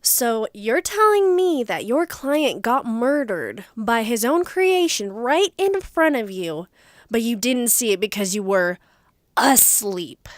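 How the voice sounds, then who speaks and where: A skeptical lady detective grilling people in an audio drama. The delivery is blunt and sardonic, with expressive swings of pitch. The recording is close and dry. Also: takes it slowly, dry recording. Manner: sardonic, interrogating. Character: skeptical, blunt female murder investigator.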